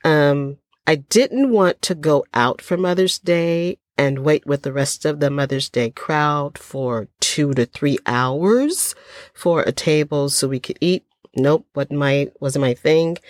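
Chatty older woman host, low voice. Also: no room sound, studio quality. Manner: chatty, folksy, lots of ums. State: stuffy from a cold. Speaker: podcast host. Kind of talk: casual solo podcast chat.

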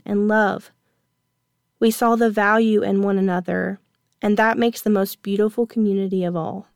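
Gentle, earnest woman podcast host. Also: medium pitch, no room sound, studio quality. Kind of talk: reflective scripted podcast monologue.

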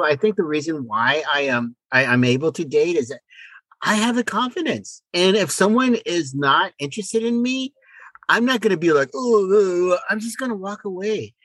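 Man, fairly high voice, very lively swooping intonation, self-assured podcast co-host, emphatic repetition, informal chat. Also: moderate pace, dry recording, low-quality recording. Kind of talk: casual conversational chat.

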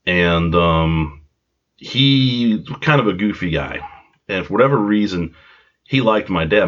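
A congested man with a cold hosting a podcast, telling a rambling, self-deprecating story with side tangents. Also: low-pitched. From Long Island, New York. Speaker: podcast host. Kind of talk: casual storytelling monologue. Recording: dull lo-fi home recording.

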